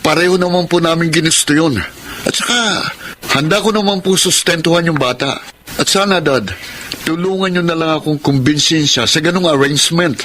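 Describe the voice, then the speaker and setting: A brash young womanizer played by a man in a radio drama, always justifying himself. His voice is fairly high and very bright, with some faint noise behind it. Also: fairly quick, close to an everyday voice. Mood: defiant. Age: young adult. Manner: brash, self-justifying, argumentative. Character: cocky young womanizer, defiant son of village chairman. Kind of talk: Filipino radio drama acting.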